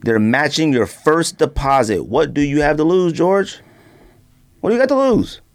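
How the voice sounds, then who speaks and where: A man hosting a podcast, doing a casual, slangy ad read with off-the-cuff hype and repeated pitches to the listener. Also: animated, normal speed. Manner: slangy, often addressing the listener as B or fam. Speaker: podcast host.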